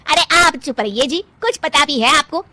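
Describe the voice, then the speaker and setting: A woman voicing a vain, bossy, excitable middle-aged mother-in-law in a cartoon, with a theatrical, gushing and scolding manner. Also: high voice, moderate pace, slight background noise. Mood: bossy, irritated. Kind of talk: Hindi moral-story cartoon acting.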